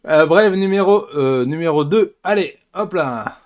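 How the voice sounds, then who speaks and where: A man's voice: fairly high pitch, animated, a little slow, a little mellow. Frenchman with lightish voice, casual co-host. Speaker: co-host of an anime news show. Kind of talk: casual joking chat.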